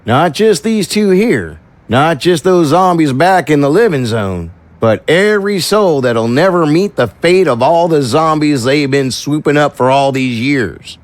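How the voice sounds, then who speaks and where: Folksy, steady sheriff, played by a man, animated pitch. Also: unhurried, few pauses, dry recording. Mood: gently reassuring. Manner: folksy, drawling turns of phrase, reassuring. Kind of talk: audio drama acting.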